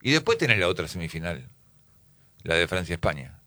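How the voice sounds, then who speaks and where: A man's voice: deep voice, big pitch swings, a little fast, bright, forceful tone, no echo. A man hosting a casual football talk panel, asking his guests questions and thinking aloud. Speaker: host.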